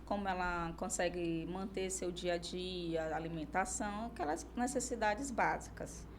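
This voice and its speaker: A woman's voice: on the low side, steady tone, normal speed, a little hiss or hum. A woman with a Brazilian accent, speaking as an expert lawyer in an informal podcast discussion, explaining through hypothetical examples.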